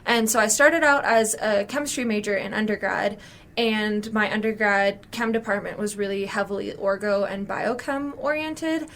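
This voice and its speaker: A young woman in her twenties answering interview questions, telling her story fluently and relaxed. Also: mid-range voice, normal speed. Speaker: graduate student guest.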